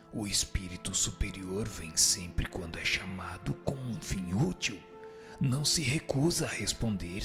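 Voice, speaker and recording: A man's voice: fairly low pitch, creaky. A man with a Brazilian accent playing a solemn, instructive spirit in a radio drama, answering in formal language. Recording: music under the voice. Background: background music.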